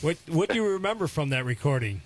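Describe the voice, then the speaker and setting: A man interviewing a guest on a casual radio show in a deferential, slightly halting way. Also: fairly high pitch, sing-song, slight background noise.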